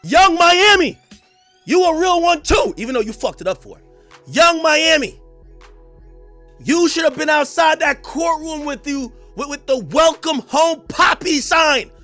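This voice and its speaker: A man ranting in a live reaction stream, loud, profane and mocking, laughing often. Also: very high voice, expressive, a little slow, faint music behind. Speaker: streamer and commentator.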